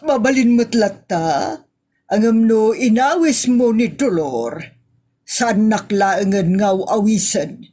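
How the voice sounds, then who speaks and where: A woman's voice: medium pitch, expressive, takes it slowly, dark tone, breathy edge. A woman playing a grumbling, defensive aunt in a comedy audio drama.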